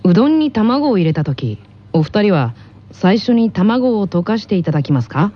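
A woman voicing a calm, polite middle-school boy who hosts an anime radio school broadcast in a measured, gentle manner. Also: mid-range voice, pitched below the performer's own voice. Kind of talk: anime character radio segment. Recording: slightly dull radio recording, faint noise.

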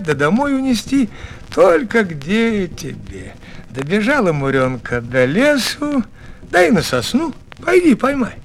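Very animated, high-voiced male storyteller. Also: slight background noise. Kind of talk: expressive narration of a folk tale.

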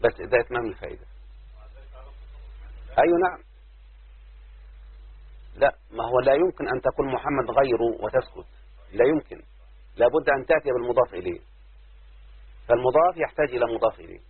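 A man with an Egyptian accent, a sheikh teaching Arabic grammar, explaining slowly and asking his listeners questions as he goes. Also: medium pitch, lively intonation, husky, no room sound. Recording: tinny phone-like line, dry.

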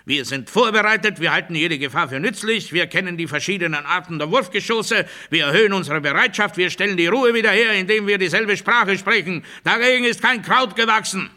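A menacing authoritarian speechmaker for a satirical radio play. The voice is a fairly high male one with a very sharp, hard, forceful edge. He declaims with pompous self-righteousness, setting out each point as emphatic rhetoric. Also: brisk, few pauses. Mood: cold, authoritative. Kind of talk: satirical radio play monologue, political oratory.

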